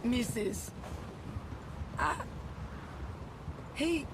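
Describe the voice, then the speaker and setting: Shattered young-sounding widow, game drama: high, very slow, halting. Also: female voice, very animated, exaggerated voice. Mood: grieving. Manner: halting, fragile. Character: traumatized, grieving frontier widow. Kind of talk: dramatic game cutscene acting. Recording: loud outdoor noise behind voice.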